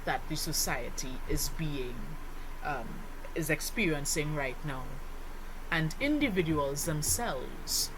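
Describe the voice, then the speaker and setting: A woman delivers a lesson in a slightly low voice. She moves slowly and deliberately, putting weight on key words and asking rhetorical questions. Noticeable noise sits behind her on the recording. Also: lively intonation. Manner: deliberate, teacherly, with rhetorical questions and emphatic stresses. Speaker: lecturer. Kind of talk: explanatory lesson voice-over.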